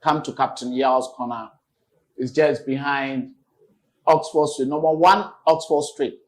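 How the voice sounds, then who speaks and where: A man hosting a casual on-location chat in a small restaurant, lively and promotional. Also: medium pitch, a little slow, rough recording. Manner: lively and promotional, urging viewers to visit. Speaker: channel host.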